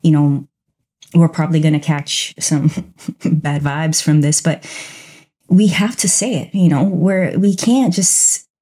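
A woman from Brazil co-hosting a casual podcast, reflective and animated, with a husky edge to her voice. Her tone is mellow and low, and she leans on easy conversational fillers. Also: slow pace. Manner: reflective, animated, with frequent fillers like "you know". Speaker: podcast co-host.

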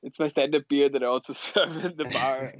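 Dull-sounding Austrian man, halting casual talk. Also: medium pitch, fairly bright tone, dry recording. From western Austria. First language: German. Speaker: guest, sommelier and wine bar co-owner. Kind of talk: casual interview chat.

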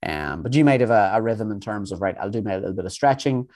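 A man co-hosting a podcast, talking in a relaxed, meandering way full of ums and restarts. His voice sits fairly low. The sound is dry and close, with no room around it. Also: quick pace, no room sound. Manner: rambling, with many fillers and restarts. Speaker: podcast co-host. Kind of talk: casual chat.

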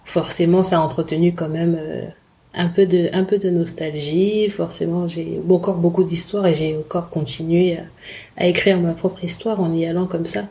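Interview guest, woman in her thirties, lower voice, halting, full of fillers. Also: fairly even intonation, mellow. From Congo. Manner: hesitant, many fillers and restarts. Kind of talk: casual conversational interview.

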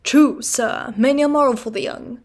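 A woman's voice: normal speed, darkish tone. A woman doing a preachy theatrical church clerk. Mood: sanctimonious. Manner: declaiming, preachy, deep put-on voice. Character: theatrical, sanctimonious middle-aged church clerk.

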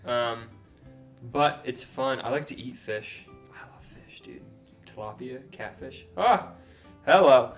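A man's voice: medium pitch, animated, slow pace, faint music behind. A man in his twenties co-hosting a casual podcast at home, rambling with lots of ums and self-corrections. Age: 20s. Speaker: podcast co-host. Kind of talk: casual chat. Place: house, indoors.

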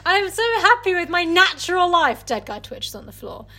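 A woman's voice: very high voice, very animated, normal speed, pushed and bright. A woman podcast co-host in casual comic banter, sarcastic, exclamatory and sweary, often slipping into mocking impressions.

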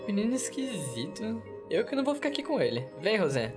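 Woman doing a bratty sneering kid boy, pitch all over the place, music behind. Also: big pitch swings, fairly quick, lightly acted. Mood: mocking. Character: mocking, dismissive young boy. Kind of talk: audio drama acting.

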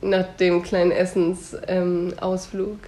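A woman's voice: lowish voice, completely flat delivery, takes it slowly, mellow. A young woman in a casual chat, gushing and chatty. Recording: echoey room.

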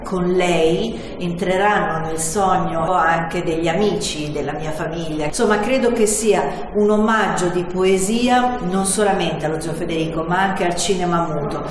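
A woman's voice: fairly low pitch, fairly even intonation, measured pace, few pauses. A woman film director giving a speech to present her short film at a public event. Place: large indoor hall at an event. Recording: very echoey hall, faint noise.

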